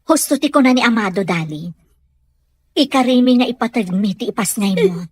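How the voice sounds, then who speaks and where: A woman playing a patient, protective middle-aged mother in a radio-style family drama, emotive and gently explaining. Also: a little high, animated, moderate pace, husky, no echo. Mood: gentle, earnest.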